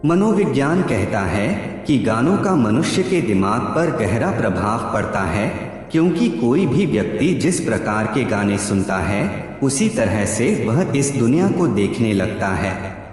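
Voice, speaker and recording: A man reading scripted narration in measured, deliberate short phrases. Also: mid-range voice, very animated, darkish tone. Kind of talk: scripted narration of psychology facts. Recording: heavy echo, long reverb, background music. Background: music.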